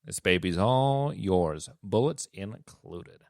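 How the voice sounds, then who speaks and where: A man voicing a tough, weary gun-for-hire in a tabletop roleplay, terse and deliberate. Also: deep voice, animated, creaky, no room sound, clean studio sound, heavily acted. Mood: firm, bargaining.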